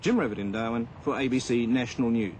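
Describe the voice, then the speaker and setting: A man's voice: medium pitch, quick pace, a little mellow. A man delivering a scripted 1970s television news report as a correspondent. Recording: faintly noisy 1970s outdoor report.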